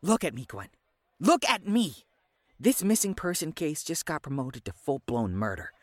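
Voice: medium pitch, very animated, normal speed, no echo, studio quality. An actor playing a brash, defiant adventurer in a fantasy audio drama, pushy and emphatic. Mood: commanding, impatient.

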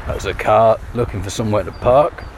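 A wry man making puns as he chats on a walk outside, with a fairly low voice. Cars and street sounds come through loudly around him. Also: fairly quick. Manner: joking, punning, wry. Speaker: podcast host. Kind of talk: casual chat while out walking. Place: outdoors on a street. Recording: loud street and traffic noise.

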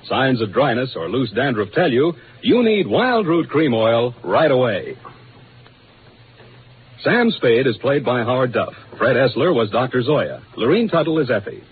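A man's voice: medium pitch, sing-song, a little slow, slight background noise. A man announcing in 1940s radio style, reading credits and a sponsor's ad. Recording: narrow, phone-like old radio broadcast.

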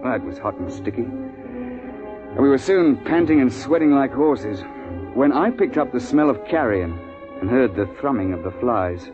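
An actor playing a seasoned big-game hunting guide in a 1950s radio drama, brisk, exasperated and authoritative, with clipped old-boy phrasing. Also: medium pitch, very animated, natural voice. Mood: vivid storytelling. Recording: muffled 1950s radio recording with music.

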